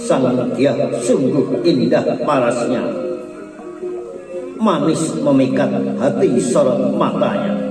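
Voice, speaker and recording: A man narrating a serialized story in a measured, poetic way. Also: mid-range voice. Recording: echoey far-off recording over loud music.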